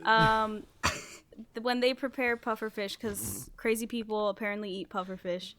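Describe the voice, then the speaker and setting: A woman's voice: a little high, fairly even intonation, a little slow, noisy background. A woman hosting a casual group podcast, talking informally and thinking aloud as she goes. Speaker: podcast host putting questions to the group.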